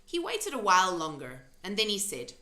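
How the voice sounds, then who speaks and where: A woman's voice: mid-range voice, wildly swooping pitch, normal speed, pushed and bright, roomy sound. A woman narrating a children's story expressively, as an amateur reader.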